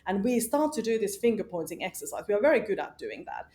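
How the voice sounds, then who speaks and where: A woman's voice: medium pitch, sing-song, fast, dark tone. A woman, a company founder talking emphatically and outspokenly in an interview, with lots of "uh" and "you know". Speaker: company founder and CEO, guest. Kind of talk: casual interview conversation.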